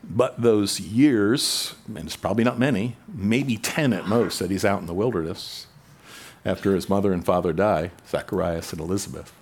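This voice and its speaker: A man preaching a sermon in a conversational way, rising in pitch and volume for emphasis. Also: moderate pace, darkish tone, slight background noise. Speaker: pastor.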